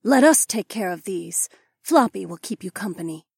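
A loyal saber-toothed woman warrior speaks in a soft, formal, earnest way, played by a man. Her voice sits higher than a man's but stays gentle. The sound is dry and close. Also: lively intonation, normal speed, gravelly, breathy edge, no room sound, heavily acted.

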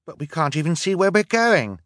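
Man as anxious young girl, high-pitched, crying out, worried, children's storybook. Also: expressive, moderate pace, a bit bright, dry recording, studio quality, lightly acted. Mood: panicked. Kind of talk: audiobook character acting.

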